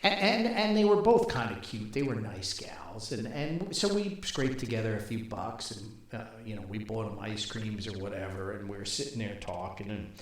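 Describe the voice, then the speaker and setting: A man's voice: fairly low pitch, expressive, normal speed, hardly any pauses. An older man telling casual anecdotes as a podcast host, in a rambling, folksy manner. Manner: rambling, folksy, chuckles at own stories. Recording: very echoey, reverberant room sound.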